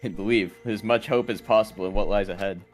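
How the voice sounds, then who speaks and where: A man reading out the part of an earnest, anguished young male student in a mystery game, gravely and dramatically. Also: fairly low pitch, steady tone, fast talker, natural voice. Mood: resolute. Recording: faint music behind.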